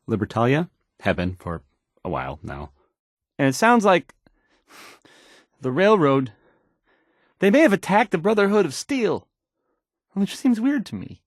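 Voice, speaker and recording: A man's voice: medium pitch, dry recording, studio quality. A man playing an awkward, unsure young radio DJ in a video game, stumbling hesitantly through news reports. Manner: halting, stammering, hesitant, trailing off.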